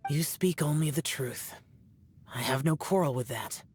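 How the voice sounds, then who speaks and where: A man's voice: on the high side, normal speed, darkish tone, soft background music, close to an everyday voice. A man playing a solemn, reserved young guardian warrior in a video game, speaking in grave, terse, formal phrases. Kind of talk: video game character acting.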